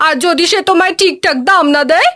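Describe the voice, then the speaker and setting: A woman voicing a doubtful, sharp-tongued farmer's wife in a cartoon. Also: extremely high pitch, fast talker.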